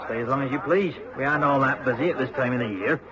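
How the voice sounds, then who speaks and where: An actor playing a friendly, obliging innkeeper with a Cornish accent and a folksy, chatty way of talking in a radio drama. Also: male voice, mid-range voice, fast talker, noisy background, close to an everyday voice. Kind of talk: mid-century radio drama acting. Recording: dull old radio recording.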